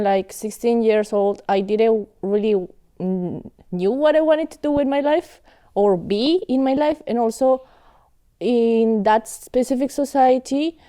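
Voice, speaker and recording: A woman's voice: fairly high pitch, dark tone, clear, resonant tone. A young woman from Colombia, a native Spanish speaker with a Colombian accent, talking in a casual interview with many fillers and restarts. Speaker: guest, student.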